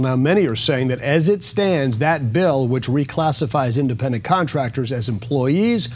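A middle-aged man anchoring an evening newscast from a TV studio, introducing a guest and asking brisk, polished questions. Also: a little high, husky. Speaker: news anchor. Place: TV news studio.

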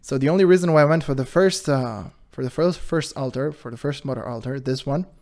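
A man with a high-pitched voice hosting his channel, giving casual commentary in an animated, defensive way and laughing often. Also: brisk. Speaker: channel host reacting to a video.

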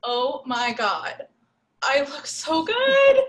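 Woman acting a high, indignant jilted teenage girl. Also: sing-song, very slow, exaggerated voice. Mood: scheming, excited. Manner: indignant, dramatic. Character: jilted seventeen-year-old girl, ranting and vengeful. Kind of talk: amateur table read of a film script. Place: home room, video call.

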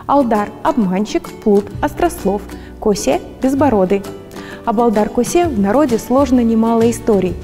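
A woman's voice: fairly high pitch, expressive, normal speed, dark tone, in a large hall. Woman narrating for children over music. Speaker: lecturer.

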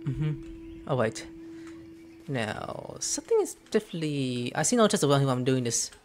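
A young man doing casual let's play commentary, excitable and muttering to himself as he plays. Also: medium pitch, moderate pace, a little hiss or hum. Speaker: gaming streamer. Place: small room indoors at home. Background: game music.

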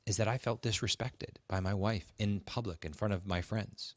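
A man co-hosting a conversational advice podcast, talking in a halting way and repeating words for emphasis. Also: fairly low pitch, fairly even intonation, no echo, studio quality. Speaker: podcast co-host.

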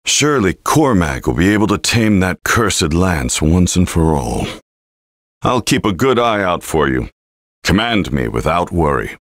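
A man voicing a proud old male general and veteran mentor in a video game, gruff and commanding. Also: sits low, big pitch swings, a little slow, fairly bright tone, no room sound, heavily acted. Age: older. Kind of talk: game voice clips.

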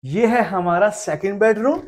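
A high-voiced man in his thirties hosts a casual travel vlog. He talks like a showman walking viewers through a place, animated and quick to exclaim. The delivery is informal and addressed straight to the audience. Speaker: travel vlogger. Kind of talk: casual vlog tour narration. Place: outdoors on a wooded road, then inside a cottage.

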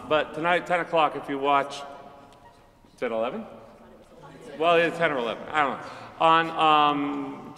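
A middle-aged man, a high school chorus director, chatting casually to a concert audience from the stage with plenty of ums and asides. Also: bright, forceful tone. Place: large school auditorium stage. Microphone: mic on a stand, speaking from among the choir. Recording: roomy hall reverb with faint audience ambience.

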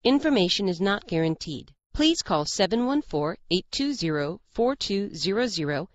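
A woman's voice: medium pitch, big pitch swings, normal speed, no echo, muffled recording. An American woman announcer giving a formal, scripted reading of a disclaimer.

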